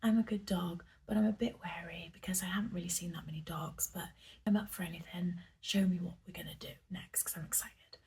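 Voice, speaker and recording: A woman's voice: on the low side, steady tone, brisk, vocal fry, a little mellow, a little hiss or hum. Woman whispering as a friendly eager dog.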